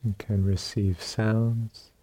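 A man leading a guided meditation as a teacher, speaking calmly in short phrases with long pauses and a soft, husky voice. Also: lowish voice. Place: meditation retreat room.

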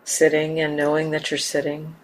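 A woman teaching a guided meditation in a gentle, unhurried manner, leaving long pauses between short phrases. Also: deep voice, rough recording. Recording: steady background hiss and room ambience.

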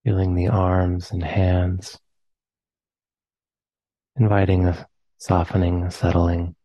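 A man with an American accent, leading a guided meditation in slow, soft phrases with long pauses. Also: deep voice, monotone, no room sound, low-quality recording. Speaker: meditation teacher.

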